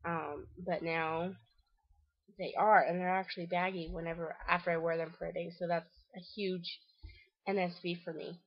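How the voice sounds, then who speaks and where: A woman's voice: on the low side, little pitch movement, normal speed, slightly rough, no room sound, muffled recording. A woman with a congested, stuffy nose gives a chatty, rambling video diary update, full of ums and restarts. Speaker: vlogger. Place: covered back deck outdoors.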